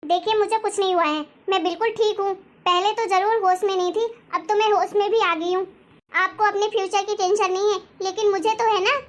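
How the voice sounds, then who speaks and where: Woman playing very high-pitched, sharp-tongued young wife. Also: fairly even intonation, a little fast. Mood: defiant, demanding. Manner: scolding, sarcastic, argumentative. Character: angry, sharp-tongued young wife demanding her share. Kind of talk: acted dialogue in Hindi family story.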